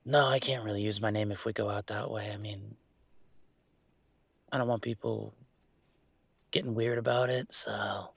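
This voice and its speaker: Casting: young male werewolf played by a man for boyfriend roleplay audio; gravelly fry, close dry sound, halting, affectionate, conversational delivery. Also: lowish voice, fairly even intonation, dry recording. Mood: uneasy, dismissive. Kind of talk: intimate audio roleplay acting, one-sided conversation.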